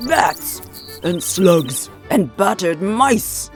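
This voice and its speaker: A woman voicing a huge, blunt, hungry male ogre with a gravelly grumble for a children's storybook. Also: lowish voice, very animated, very slow, faint music behind, full character voice. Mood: gruff demanding.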